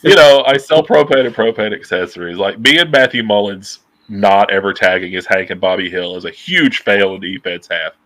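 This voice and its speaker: A man's voice: lowish voice, brisk, hardly any pauses, rough recording. Nasal man swearing freely on a call. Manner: profane, animated, gesturing a lot. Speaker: guest. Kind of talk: casual interview chat. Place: dim room at home, indoors. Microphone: earbuds on a video call.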